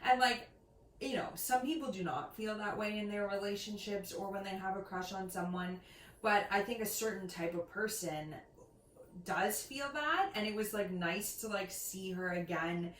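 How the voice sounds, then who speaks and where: A woman's voice: medium pitch, moderate pace, fairly bright tone. A woman co-hosting a book channel, talking in a casual, chatty style. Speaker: co-host of a book review channel. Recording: echoey room with faint background noise.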